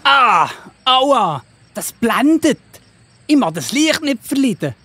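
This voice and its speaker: A stern, dramatic young man named Saulus, in a children's Bible audio drama. The voice is raised and very lively, slow and a little husky, recorded dry and close. It carries a Swiss German accent. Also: high voice, heavily acted. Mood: pained. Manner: exclaiming, theatrical.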